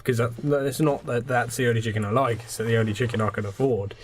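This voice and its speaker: A man's voice: mid-range voice, steady tone, fast talker, background noise. A British man chatting and joking casually with friends as a podcast co-host. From Britain. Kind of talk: casual comic banter with friends.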